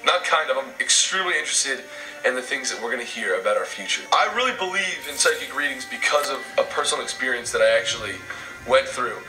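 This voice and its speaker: A young man chatting casually as a vlogger, excitable and rambling, nervous about what's coming. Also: mid-range voice, quick pace, echoey room, recorded from a distance, music in the background, rough recording. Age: young adult. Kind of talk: casual chat.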